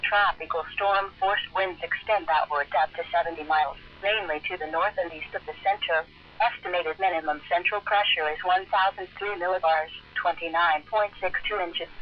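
A synthetic male voice reading an automated storm advisory formally and with measured delivery, as a weather radio announcer. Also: high voice, steady tone. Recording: dull lo-fi radio sound.

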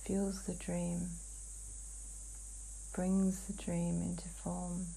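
Woman speaking in a slow, halting trance. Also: on the low side, monotone, gravelly, mellow, breathy edge, rough recording. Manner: halting, fragmented phrases with long pauses. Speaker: client in a hypnotic healing session. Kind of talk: slow trance channeling, answering questions.

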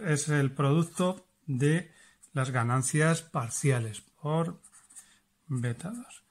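A man teaching an electronics lesson. He explains each step as he works and keeps checking in with his listeners. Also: mid-range voice. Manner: explanatory, checks in with frequent "vale?". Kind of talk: hands-on lesson demonstrating and calculating.

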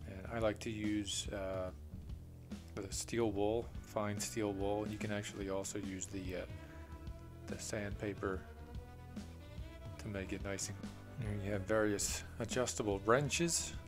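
A man's voice: lowish voice, steady tone, measured pace, long pauses, over music. A man, a plumbing contractor, walks through his tools off camera, talking plainly and unhurriedly with many "uh" fillers. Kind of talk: off-camera tool walkthrough.

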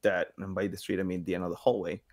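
A man's voice: low-pitched, fast. A man in his thirties chatting casually and expressively as an interview guest, often acting out little imagined exchanges. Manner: expressive, acts out imagined quotes. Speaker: podcast guest, engagement director and sound designer. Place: home room, indoors.

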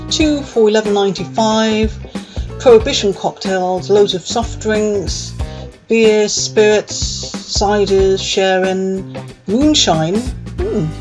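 Slow-paced woman over guitar music. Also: mid-range voice. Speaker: food vlogger. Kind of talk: casual voice-over reading through a menu. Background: plucked guitar music.

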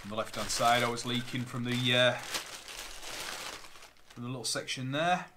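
A man's voice: medium pitch, steady tone, moderate pace, sharp tone, slight background noise. A man, a plumber chatting casually as he unpacks and lists boiler parts at home. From Northern England. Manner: chatty, matter-of-fact, rattling off parts. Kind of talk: casual unboxing vlog. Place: living room at home. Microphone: camera on a tripod, seated at a table. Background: plastic bags crinkling.

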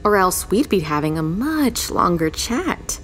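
A woman's voice: medium pitch, big pitch swings, unhurried, slight background noise. A woman playing a blunt, scornful homicide detective in an audio drama, stern, sarcastic and foul-mouthed.

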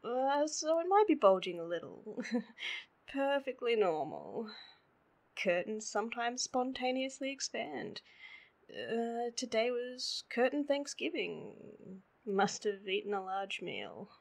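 A woman's voice: on the high side, very animated, takes it slowly, vocal fry, exaggerated voice. A woman with an Australian accent playing a sleepy, needy, playful girlfriend in an intimate roleplay audio, in a drowsy, whiny drawl. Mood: teasing, playful.

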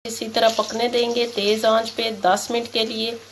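A woman narrating a cooking recipe step by step, in a steady, instructive way, in a home kitchen. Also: on the high side, moderate pace, noisy background. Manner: steady, instructive, repeats "ye dekhiye". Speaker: cooking channel host. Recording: loud kitchen sizzle behind.

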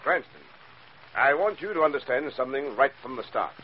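An actor playing a stern, impatient police commissioner, gruffly interrogating in 1940s radio style. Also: male voice, medium pitch, expressive, fairly quick, a little hiss or hum, lightly acted. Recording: dull 1940s radio recording.

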